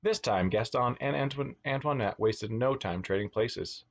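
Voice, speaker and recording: A man's voice: mid-range voice, moderate pace, a little hiss or hum. A man reading a children's storybook aloud as a teacher, expressively, with asides to his young listeners. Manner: expressive, acts out words and exclamations.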